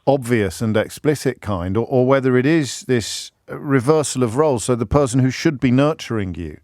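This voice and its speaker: A man's voice: medium pitch, moderate pace, a little mellow, dry recording, studio quality. A man hosting a radio phone-in, talking to callers in a conversational, probing way with frequent restarts. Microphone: studio microphone.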